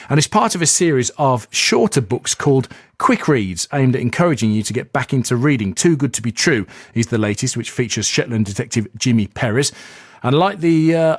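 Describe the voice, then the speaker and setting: A male radio presenter talking in a chatty, enthusiastic way, his questions wandering as he goes. His voice sits at a middle pitch and sounds dry and close in the studio. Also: no echo. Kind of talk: radio interview.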